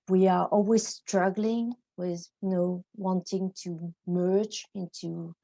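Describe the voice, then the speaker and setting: Guest journalist, woman with a French accent, low-toned and halting, dry recording. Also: gravelly, warm tone, no echo, poor audio. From France. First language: Vietnamese. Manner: halting, searching for words, frequent restarts. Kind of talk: reflective panel conversation.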